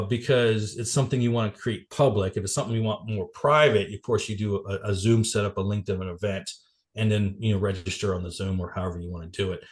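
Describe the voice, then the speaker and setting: A man getting over a cold, a little congested and coughing, giving a casual business presentation on a video call. He rambles with many fillers and restarts. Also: on the low side. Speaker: guest presenter at a networking meeting.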